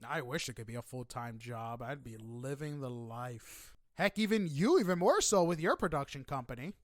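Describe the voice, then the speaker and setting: A man's voice: medium pitch, animated, normal speed, no room sound, studio quality. A man hosting a casual interview, chatting in an enthusiastic, emphatic way. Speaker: podcast host interviewing a guest.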